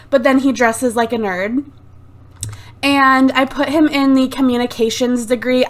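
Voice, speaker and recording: A woman giving casual, rambling commentary over a life-sim game on a desktop USB mic. She is sick with a sore throat, sounds a little phlegmy, and fills her talk with "like" and "um". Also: high voice. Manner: chatty and rambling, lots of "like" and "um". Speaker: let's play host. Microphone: desktop USB microphone.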